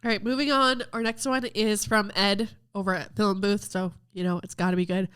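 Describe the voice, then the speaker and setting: A woman's voice: medium pitch, moderate pace, clear, resonant tone. Chatty woman with a stuffed-up voice. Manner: chatty, upbeat, lively recommending tone. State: stuffed up from a cold. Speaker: podcast host. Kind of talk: casual video review talk.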